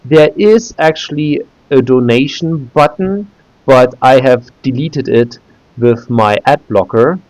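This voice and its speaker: A man's voice: medium pitch, slow, a little mellow, no room sound, rough recording. A German man in his thirties, a native German speaker talking in English, walking through a website casually with frequent fillers and restarts. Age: 30s. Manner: hesitant, many "uh" fillers and restarts. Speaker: video creator. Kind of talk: casual screen-recorded walkthrough.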